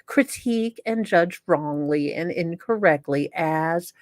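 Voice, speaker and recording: A woman's voice: low-pitched, slow, no echo, studio quality. A woman hosting a comedy show, mixing theatrical, sarcastic dramatic reading with casual commentary. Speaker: show host.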